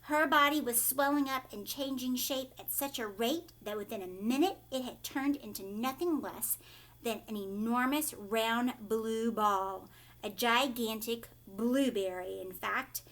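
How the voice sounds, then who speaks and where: A woman's voice: a little high, fairly bright tone. A woman reading a children's story aloud like a teacher, with theatrical, emphatic phrasing aimed at young listeners.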